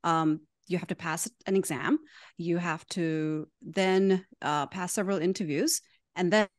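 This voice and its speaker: A woman's voice: fairly low pitch, fairly even intonation, measured pace, dry recording. Amused woman guest from Pakistan. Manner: amused, laughing often while explaining. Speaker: podcast guest. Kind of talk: casual interview chat.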